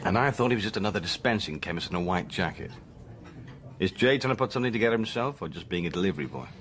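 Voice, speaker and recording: An actor playing a dry, insubordinate British spy, narrating a radio drama in a deadpan, wry manner. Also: male voice, low voice, very fast. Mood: probing, dry. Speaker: first-person narrator.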